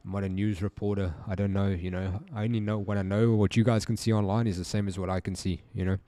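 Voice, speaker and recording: A young South African man hosting a casual live stream, joking, laughing a lot and talking in relaxed slang. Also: lowish voice, fast. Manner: laid-back, joking, laughs often, slangy. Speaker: livestream trading host.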